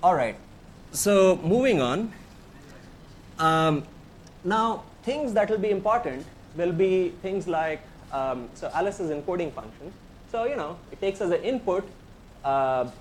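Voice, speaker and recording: A man's voice: high voice, darkish tone, slight background noise. A man with an Indian accent, a professor giving a technical tutorial, explaining step by step in a conversational way and inviting questions. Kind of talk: technical lecture.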